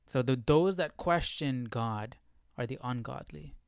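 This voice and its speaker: A man's voice: mid-range voice, a little slow, vocal fry, smooth, no room sound. A man hosting a casual live Bible study, explaining as he thinks aloud and often posing questions. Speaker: livestream Bible study host.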